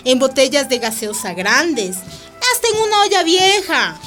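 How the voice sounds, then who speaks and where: Una mujer que interpreta en un radioteatro a una vecina alegre y práctica, que explica las cosas de forma coloquial. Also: female voice, high voice, unhurried, soft background music, full character voice. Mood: encouraging, instructive. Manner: animated, instructive, colloquial. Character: cheerful, practical neighborhood woman teaching vegetable gardening. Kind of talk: radio drama acting.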